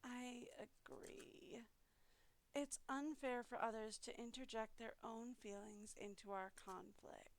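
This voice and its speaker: A woman's voice: fairly high pitch, steady tone, slow pace, creaky, voice pushed down, poor audio. A woman voicing a bookish teenage girl poet from a visual novel, formal, restrained and condescending. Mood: cold disdain. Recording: loud music behind the voice.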